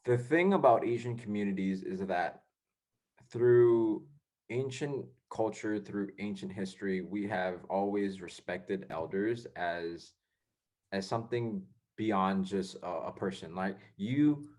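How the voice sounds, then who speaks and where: A man's voice: fairly low pitch, slow pace, darkish tone. A young man hosting a casual podcast, rambling with many fillers and restarts. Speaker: podcast host.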